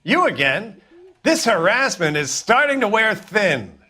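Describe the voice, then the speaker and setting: Slippery American crook, higher voice. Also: male voice, big pitch swings, a little slow, sharp tone, a little hiss or hum, exaggerated voice. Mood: indignant. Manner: defiant, evasive, self-important. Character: slippery, indignant crook cornered by police. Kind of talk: video game voice acting.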